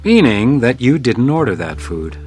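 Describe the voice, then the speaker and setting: An actor playing a composed, world-weary hotel house detective in a noir radio drama, wry and probing. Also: male voice, medium pitch, sing-song, normal speed, darkish tone, faint music behind, lightly acted. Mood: wry, pressing. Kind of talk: radio drama acting with first-person noir narration.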